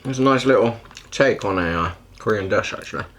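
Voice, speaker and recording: A young man tasting a friend's cooking, relaxed and slangy, full of praise for the food. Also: fairly low pitch, a little fast, background noise. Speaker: taster. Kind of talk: casual food tasting chat.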